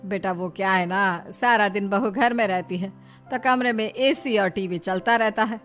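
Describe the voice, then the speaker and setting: A woman's voice: mid-range voice, normal speed, fairly bright tone, faint music behind, natural voice. A woman voicing a long-suffering, caring older mother-in-law in a cartoon family drama, earnest and plaintive. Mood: sly, matter-of-fact.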